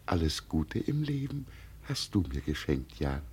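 An actor playing a gentle, deluded old peasant who believes he is an emperor, solemn and dreamy in a 1950 radio play. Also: very deep voice, very animated, unhurried, husky, heavily acted. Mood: wistful. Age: older. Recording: vintage 1950 radio recording.

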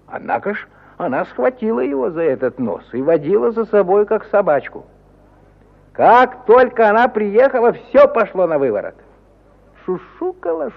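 Man, husky voice, very lively intonation, dramatic storytelling, 1950 radio style, old recording. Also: sits high, phone-quality sound. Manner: theatrical, swinging between loud exclamation and hushed suspense. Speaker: narrator of an audio play. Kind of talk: expressive dramatic literary narration, 1950 Soviet radio.

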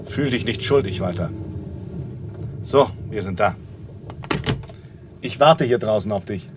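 A man's voice: on the low side, animated, measured pace, background noise. An actor playing a supportive male friend who drives and reassures the hero in a 1980s radio drama. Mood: caring.

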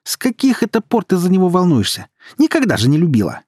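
A man voicing a sharp-tongued young woman, a teasing elder sister who taunts and scolds in an audiobook story. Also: mid-range voice, sing-song, vocal fry, breathy edge, no room sound, clean studio sound, pitched above the performer's own voice, full character voice. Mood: mocking. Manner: taunting, brash, quick to scold.

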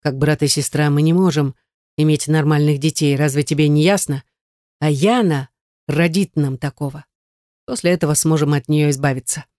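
A woman's voice: sits low, fairly even intonation, moderate pace, darkish tone, no room sound, clean studio sound, lightly acted. A woman narrator voicing a stern, commanding man in love with a serious, muted delivery in an audiobook.

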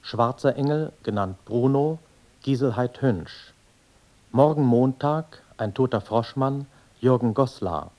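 Slow, formal male radio announcer. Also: medium pitch, darkish tone. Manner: measured, deliberate. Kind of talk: formal scripted credit announcement.

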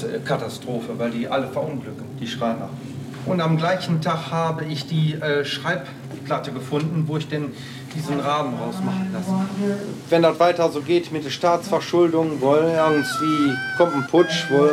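An older man talking informally as an artist showing his work, halting and repetitive, often stammering into restarts. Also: a little high, background noise. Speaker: artist interviewee showing own works. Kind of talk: rambling informal talk. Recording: echoey room, music underneath.

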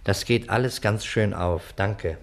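An actor playing an insistent, commanding police inspector in an early 1960s German radio crime play. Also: male voice, fairly low pitch, a little slow. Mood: brisk, deductive. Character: brusque, impatient male police inspector.

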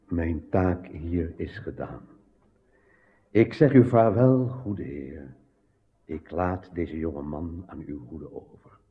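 Rumbly deep holy priest, slow n hushed, radio drama, muffled phoney sound. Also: male voice, exaggerated voice. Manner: solemn, halting, hushed. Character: grave, devout male priest bidding farewell. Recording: muffled narrow-band old radio recording.

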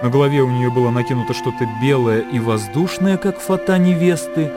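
Music plays clearly behind a male narrator with a mid-pitched voice. He reads literary prose as the storyteller of a radio play. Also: a little fast.

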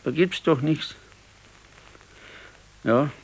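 Slow-talking, brooding old Bavarian pensioner. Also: male voice, a little high, slightly rough, full character voice, poor audio. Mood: wistful insistence. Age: older. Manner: slow, ruminating, stubborn. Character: brooding, discontented old pensioner, former electrician, husband. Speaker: radio drama actor. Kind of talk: 1970s naturalistic dialect radio play.

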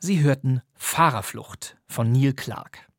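A man's voice: medium pitch, takes it slowly, gravelly, no echo, studio quality. A man hosting a crime podcast, presenting radio plays from a script. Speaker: podcast host. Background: music.

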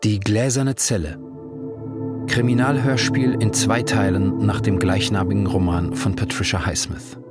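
A man announcing a radio play's title and credits in a formal, even manner. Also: fairly low pitch, measured pace. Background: music.

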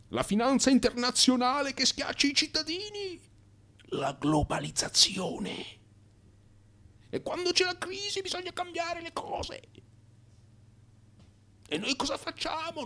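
Patronising personnel director. Radio drama, pitched way up, airy and partly whispered, slow. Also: male voice, sing-song, pushed and bright, full character voice. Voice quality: high, breathy, partly whispered.

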